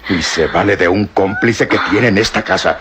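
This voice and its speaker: A man's voice: low-pitched, normal speed, noisy background, close to an everyday voice. A man playing a stern, accusing police inspector. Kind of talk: 1960s radio drama acting.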